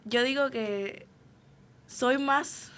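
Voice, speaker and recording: A woman's voice: high-pitched, drawn-out words, fairly bright tone. A young woman with a Puerto Rican accent answering casually as an interview guest, often hesitant as she searches for words. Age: young adult. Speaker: interview guest, student actor.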